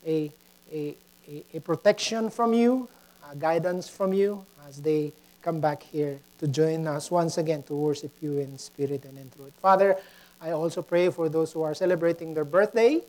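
A woman with a Filipino accent preaching in a halting way, often repeating words, moving between prayer and anecdote. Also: sits low, warm tone, rough recording. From Philippines.

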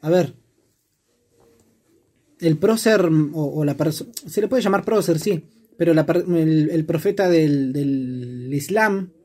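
A man's voice: fairly high pitch, slow pace, darkish tone, slightly rough. A man with an Argentine accent explaining his views in a casual discussion, reflective and asking rhetorical questions. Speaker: discussion participant.

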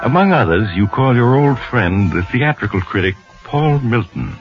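A man's voice: medium pitch, sing-song, normal speed, lightly acted. A man playing a sinister, knowing storyteller, narrating old-time radio suspense with dramatic, insinuating emphasis. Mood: ominous, knowing. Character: sinister, knowing male storyteller addressing the culprit. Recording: muffled old radio recording. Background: loud dramatic music.

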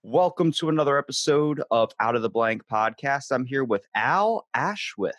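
A man's voice: medium pitch, expressive, normal speed, fairly bright tone, dry recording, soft background music. A man hosting a podcast interview, loose, rambling and jokey in casual chat. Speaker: podcast host.

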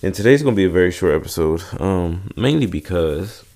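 Stuffed-up young guy podcasting solo, super low voice, rambly, lots of um and like. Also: lively intonation, a little fast, a little mellow. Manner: rambling, many fillers and restarts. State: congested, sore throat. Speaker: podcast host. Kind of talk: casual solo podcast chat.

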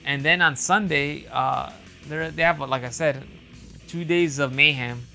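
A man with a loud, booming voice that is gravelly and bright-edged. He rambles in a casual monologue, circling back with fillers and restarts. Faint guitar music plays behind him. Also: a little slow. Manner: rambling, repeats himself, many fillers and restarts. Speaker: wrestling fan commentator.

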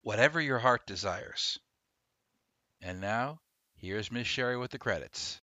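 A man's voice: medium pitch, lively intonation, measured pace, sharp tone. A man hosting a podcast, speaking in a casual, conversational, self-deprecating way to his listeners. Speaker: podcast host. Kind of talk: casual scripted podcast outro.

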